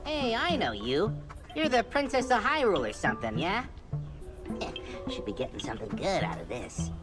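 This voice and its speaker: A woman's voice: medium pitch, big pitch swings, normal speed, over music, natural voice. A woman voicing a small, boastful but good-hearted male wanderer in a game, talking in a casual, folksy swagger. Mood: nosy. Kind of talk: video game cutscene acting.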